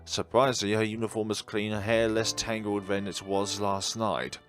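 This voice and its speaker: A man's voice: lowish voice, flat delivery, moderate pace, a bit bright, soft background music. A man reading a visual novel aloud theatrically as a game streamer, slipping out of the story for asides and self-corrections.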